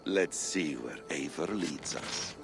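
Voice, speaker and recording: A man playing a cerebral, curious, wryly detached observer in a video game, with a gravelly voice and musing, theatrical delivery. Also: extremely low pitch, lively intonation, slow pace, exaggerated voice. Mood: intrigued, musing. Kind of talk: dramatic video game narration. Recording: music behind the voice.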